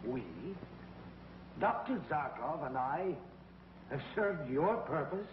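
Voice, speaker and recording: An actor playing an earnest, indignant space commander in a 1950s TV drama, asking urgent questions with emphasis. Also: male voice, a little high, expressive, slow, noisy background. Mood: baffled. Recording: dull 1950s TV soundtrack with music.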